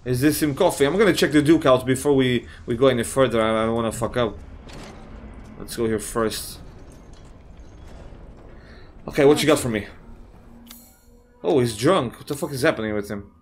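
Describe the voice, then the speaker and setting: A man, slightly raspy from just waking up, giving casual let's-play commentary on a horror game, swearing often and thinking out loud. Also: mid-range voice, moderate pace, pauses often, slight background noise. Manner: swears often, thinks aloud, reacts with surprise. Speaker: gamer playing a horror game.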